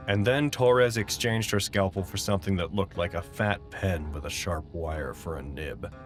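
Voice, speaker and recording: Guy acting a fussy self-important documentarian doing an audio drama log, pretty deep voice, faint music. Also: moderate pace, lightly acted. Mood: uneasy, reflective. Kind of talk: first-person audio drama log narration.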